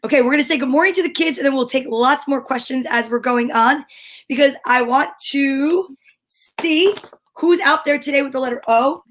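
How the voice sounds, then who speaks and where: Female host, high-pitched voice, informal and inquisitive, casual conversational delivery. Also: normal speed, a bit bright. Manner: informal, curious questioning. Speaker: host of a children's story time show. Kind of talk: casual interview chat.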